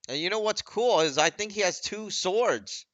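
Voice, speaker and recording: A man's voice: high voice, animated, moderate pace, fairly bright tone, dry recording. A man giving casual, off-the-cuff commentary on game cutscenes, explaining conversationally with rambling asides.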